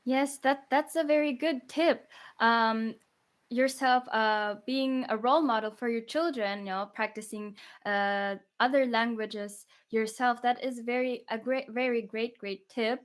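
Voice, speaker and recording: A woman with a Filipino accent hosts a radio interview, asking questions politely and praising answers. Her voice sits a bit high. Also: fairly even intonation, unhurried. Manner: polite, encouraging, "po" fillers. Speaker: radio host.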